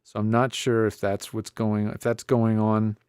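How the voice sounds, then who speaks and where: A man's voice: on the low side, flat delivery, unhurried, darkish tone, clean tone, no room sound. A man presenting a casual hands-on audio gear tutorial, thinking aloud in a conversational way on a close studio microphone. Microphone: close studio podcast microphone.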